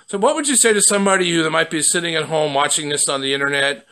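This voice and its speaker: A man's voice: a little high, fast talker, sharp tone. A man working as a company representative, conducting a promotional testimonial interview from off camera in a basement.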